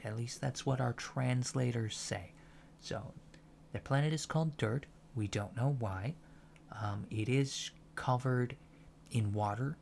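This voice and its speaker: A soft-spoken man giving a deadpan, matter-of-fact ASMR roleplay lecture as a college teacher. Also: lowish voice, vocal fry, slight background noise. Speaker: ASMR performer playing an alien college lecturer.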